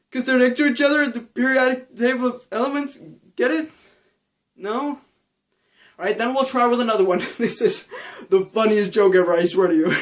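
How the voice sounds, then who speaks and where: A young man playing a giddy, over-eager teacher. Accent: Danish. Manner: giggly, shrill, bursts into laughter. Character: over-enthusiastic young male teacher, giddy, joke-cracking. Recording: echoey room.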